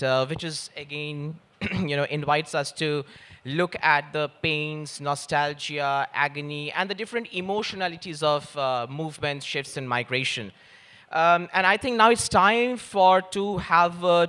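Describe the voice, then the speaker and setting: A man's voice: on the high side, unhurried, pushed and bright, smooth. A man moderates a live panel in hesitant, wordy academic phrasing.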